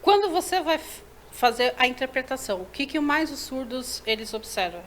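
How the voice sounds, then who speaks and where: A woman's voice: mid-range voice, fairly bright tone, slight background noise. A woman hosting a TV talk show, asking an interpreter curious and enthusiastic questions. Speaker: TV show host. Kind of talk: interview questions, casual chat.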